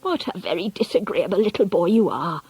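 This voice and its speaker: A woman voicing a feisty, doting old grandmother in an audiobook story, speaking deliberately and with emphasis. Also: on the low side, lively intonation, a little mellow, breathy edge, dry recording, exaggerated voice. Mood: brisk disapproval.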